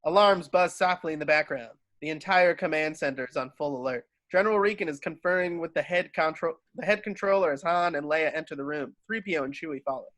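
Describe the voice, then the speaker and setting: A man's voice: a little high, fast talker, few pauses, a bit bright, no room sound. A man narrating screenplay stage directions from a script at a table read.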